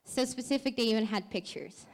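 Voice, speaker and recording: Peruvian-born woman pitching her startup. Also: a little fast. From Peru. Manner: earnest, explanatory, occasional self-correction.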